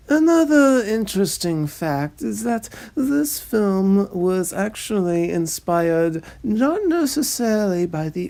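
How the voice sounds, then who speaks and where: A woman narrates in a pompous, theatrical style. Her voice is lowish and creaky, and she takes her time over each scripted phrase as a snobby host would. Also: mellow. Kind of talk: dramatic scripted narration of a screenplay.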